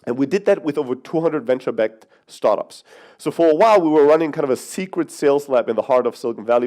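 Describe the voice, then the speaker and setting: A man's voice: mid-range voice, warm tone. A man in his thirties from Greece, raised in Germany, giving a brash, joking conference keynote with the odd swear. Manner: brash, profane, joking with the audience. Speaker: conference speaker. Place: conference hall.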